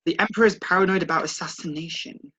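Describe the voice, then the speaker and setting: A performer reading the part of a wise old master calmly instructing a pupil in a story. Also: mid-range voice, quick pace, husky, highs cut off. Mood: explanatory. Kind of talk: read-aloud story dialogue.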